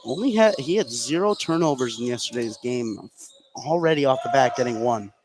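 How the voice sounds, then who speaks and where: A man's voice: medium pitch, expressive, normal speed. A man giving conversational color commentary and analysis during a live basketball broadcast. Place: indoor basketball gym, courtside broadcast. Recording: gym crowd behind the voice. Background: crowd noise.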